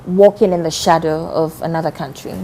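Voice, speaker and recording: Female interviewer, low and warm, halting questions. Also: steady tone, a little hiss or hum, low-quality recording. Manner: hesitant questions with many "mm" backchannels.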